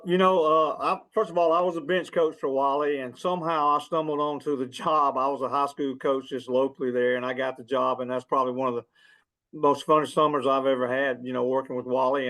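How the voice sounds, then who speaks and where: A man's voice: mid-range voice, a bit bright, low-quality recording. A middle-aged man from southern Georgia, a guest casually reminiscing in conversation. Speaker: guest, former bench coach.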